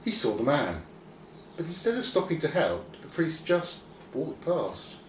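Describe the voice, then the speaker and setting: A man reading a scripted children's story expressively, with deliberate pauses. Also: a little high, very animated. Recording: roomy, distant mic, faint noise.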